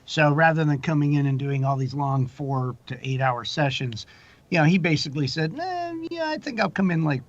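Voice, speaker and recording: A man's voice: a little high, animated, moderate pace, highs cut off. A man speaking as a producer guest in a casual interview, rambling through anecdotes and explanations.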